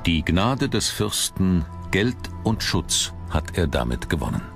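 A man narrating a documentary from a script in a measured, dramatic storytelling manner. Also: sits low, big pitch swings, gravelly. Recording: music under the narration. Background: background music.

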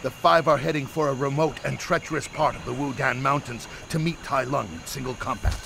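Man voicing a stern, gravelly old kung fu master. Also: medium pitch, fairly even intonation, brisk, noisy background, lightly acted. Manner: clipped, commanding, dry. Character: stern old martial arts master, small, exacting, dry. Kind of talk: animated game dialogue.

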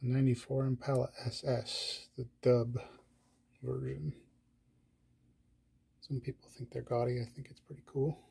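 A man casually narrating a tour of his collection, listing items in a slow, low-key way with pauses and fillers. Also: little pitch movement, creaky, mellow, slightly rough.

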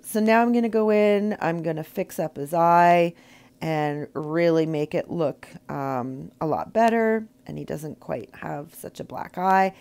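A low-voiced woman in middle age narrates her painting tutorial as a voiceover. Her tone is mellow and dark, and a cold she is getting over still shows in her voice. She talks in a loose, chatty way, full of ums and uhs. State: recovering from a cold. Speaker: rock painting tutorial host. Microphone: voiceover mic.

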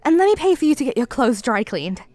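High-pitched flustered barista girl, acted by a woman. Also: very animated, fast talker, dark tone, no echo. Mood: shy, flustered. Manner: stammering, apologetic. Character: flustered, clumsy young female cafe barista. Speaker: audio roleplay voice actor. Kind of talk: ASMR roleplay acting.

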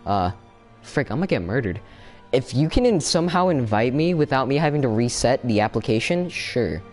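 A young man chatting casually while he streams a fighting game, excitable, with sudden yelps and laughs. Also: mid-range voice, a little fast, soft background music. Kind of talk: casual gameplay commentary. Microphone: no camera, desk mic.

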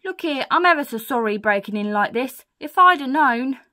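A chatty lady burglar from a children's storybook, put on by a woman reader. Her voice sits high and jumps around with great animation. She speaks emphatically, in rough working-class grammar. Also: expressive, moderate pace, bright, forceful tone. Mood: surprised, apologetic.

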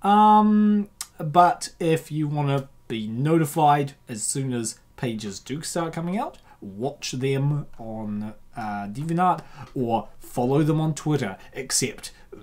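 A woman hosting a comic dub with casual, rambling, excitable reaction commentary. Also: low-pitched, slow pace.

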